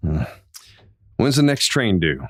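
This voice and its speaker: A man's voice: lowish voice, sing-song, slow, exaggerated voice. An American man playing a folksy, resolute frontier sheriff in an old-time Western radio drama. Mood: guarded, reassuring. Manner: folksy drawling frontier speech.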